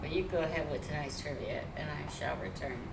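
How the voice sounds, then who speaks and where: A woman with a low voice co-hosting a live gaming stream, rambling with hesitant restarts. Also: steady tone, fairly quick, gravelly, roomy sound, very noisy. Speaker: co-host streamer. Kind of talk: casual live-stream chat over gameplay. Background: loud game music.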